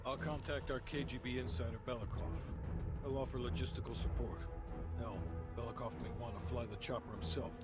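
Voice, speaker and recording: A man's voice: medium pitch, fairly even intonation, brisk, natural voice. An actor playing a blunt, testy male CIA handler in a video game cutscene, speaking in a curt, businesslike way. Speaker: intelligence officer. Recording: loud cinematic music behind.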